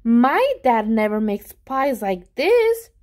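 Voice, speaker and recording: A woman's voice: fairly high pitch, very animated, slow pace, darkish tone. A woman voicing a curious, wide-eyed young neighbor boy in a children's storybook. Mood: impressed.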